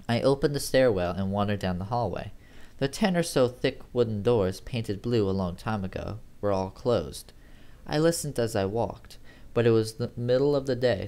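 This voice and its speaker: An amateur storyteller reads first-person horror fiction in a medium-pitched voice. The scripted narration is delivered dramatically, acting out the narrator's unease. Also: a little mellow.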